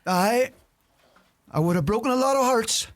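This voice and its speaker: An actor playing a scrawny, idle old uncle who grumbles and boasts, with a Donegal Irish accent, in a radio play. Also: male voice, very high voice, lively intonation, normal speed. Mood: boastful. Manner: grumbling, droll.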